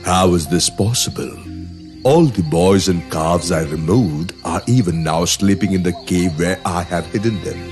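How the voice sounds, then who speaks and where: A man's voice: deep voice, moderate pace, music in the background, close to an everyday voice. A man playing a male god in a children's mythology cartoon, speaking in a formal, solemn way. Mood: bewildered. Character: Brahma, bewildered then humbled male god.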